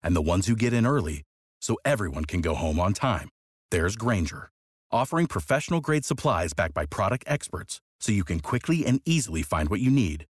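A man's voice: on the low side, sing-song, fairly quick, breathy edge, no echo, clean studio sound. A man reading a scripted radio-style ad in an upbeat, persuasive way. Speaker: ad announcer. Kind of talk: scripted ad read.

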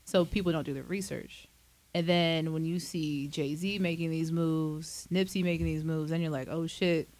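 A man's voice: fairly high pitch, fairly even intonation, normal speed, a little mellow. A man in his late twenties hosting a casual interview, joking, candid and laughing often. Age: 20s. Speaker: podcast host.

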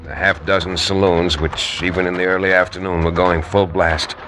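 An actor playing a steady, dry-witted frontier lawyer who narrates a 1950s radio drama in a wry, measured way. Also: male voice, deep voice, music in the background, lightly acted. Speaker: narrator and lead. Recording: noisy old radio recording.

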